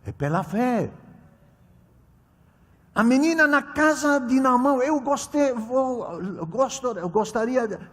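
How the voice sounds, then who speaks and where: A man preaching a sermon in Portuguese as a non-native speaker, emphatic, swinging between loud exclamations and quiet asides, often restarting words. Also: extremely high pitch, lively intonation. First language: not Portuguese. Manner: halting, repeats and corrects words mid-phrase. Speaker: preacher at a children's ministry conference. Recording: echoey hall reverb.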